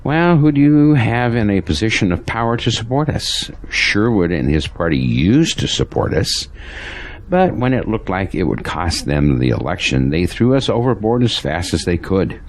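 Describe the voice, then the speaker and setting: A man's voice: fairly low pitch, sing-song, normal speed, a little hiss or hum. A man acting as a devout, troubled pastor in an audio drama, speaking with earnest, preachy conviction. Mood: grave, disapproving.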